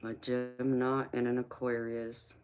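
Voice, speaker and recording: Woman in her forties, tarot reader, relaxed and slow-spoken, chatting back to viewer comments. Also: rumbling low. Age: 40s. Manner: easygoing, answers viewer comments by name. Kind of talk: casual live-stream chat with viewers.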